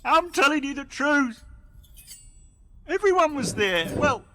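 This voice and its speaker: Very high voice, halting captive office worker, slow, pleading, radio drama, some background noise. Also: male voice, sing-song, full character voice. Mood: defensive, halting. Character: panicked captive office worker pleading innocence, shifting blame. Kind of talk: radio play acting.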